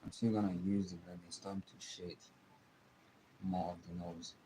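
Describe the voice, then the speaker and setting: A young man with a Nigerian accent, giving a live drawing tutorial and talking softly as he works. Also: low voice, level tone, noisy background. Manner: slow, soft-spoken, trailing off while drawing. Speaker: art teacher streaming live.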